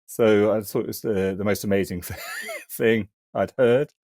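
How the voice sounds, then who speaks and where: A man's voice: on the low side, steady tone, a little slow, a little mellow, no room sound. Middle-aged man, close dry sound. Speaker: podcast guest, academic. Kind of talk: casual reminiscing and discussion.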